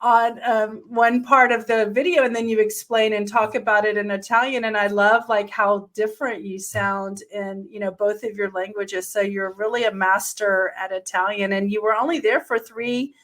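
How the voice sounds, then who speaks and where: A woman hosting an interview, asking halting questions and giving eager compliments. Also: mid-range voice, fairly even intonation, a bit bright. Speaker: podcast host. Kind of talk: casual interview chat.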